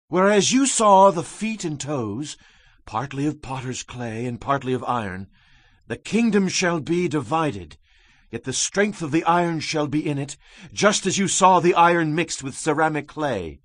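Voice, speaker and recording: A man's voice: fairly high pitch, no echo. An actor playing a solemn male prophet, declaiming emphatically in a dramatized scripture reading. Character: Daniel, male prophet solemnly interpreting a king's dream.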